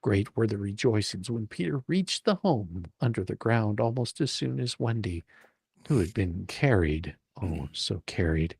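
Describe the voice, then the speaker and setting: Man, expressive storybook narrator, playful, theatrical, close dry recording. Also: lowish voice, unhurried, vocal fry, breathy edge, dry recording. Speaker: reader and host of a live dramatic reading. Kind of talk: dramatic storybook narration with casual asides.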